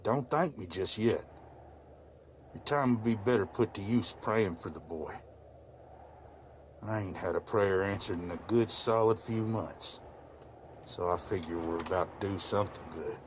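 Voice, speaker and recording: A man's voice: lowish voice, slight background noise, natural voice. A man playing an older, devout, plain-spoken rural farmer in a motion comic. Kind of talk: dramatized motion comic dialogue.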